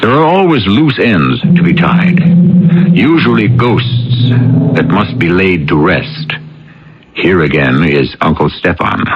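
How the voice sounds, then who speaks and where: A man hosting a radio mystery drama, reading solemn scripted narration in a measured, portentous way. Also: low-pitched, expressive, exaggerated voice. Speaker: host. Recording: dull old radio broadcast, music behind.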